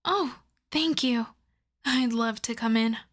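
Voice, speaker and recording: A woman playing a polite, coyly obsessive vampire lab technician in an ASMR roleplay, speaking softly and courteously, often breathily, straight to the listener. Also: on the high side, slight background noise. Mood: reassuring, measured. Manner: soft-spoken, courteous, measured, often breathy.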